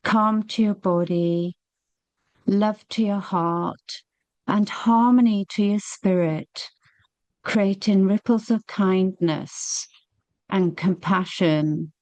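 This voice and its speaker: A woman leading a guided meditation, speaking gently and soothingly with long, unhurried pauses. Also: medium pitch, no room sound.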